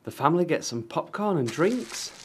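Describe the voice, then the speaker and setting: Lively children's story narrator. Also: mid-range voice, moderate pace, a little hiss or hum. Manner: expressive, storytelling.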